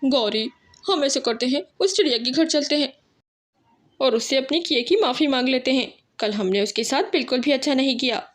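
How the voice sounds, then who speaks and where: A woman voicing a rude, selfish, scornful male crow with a quick temper in a cartoon. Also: high-pitched, natural voice. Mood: scared. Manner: scornful, complaining. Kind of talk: Hindi cartoon character acting.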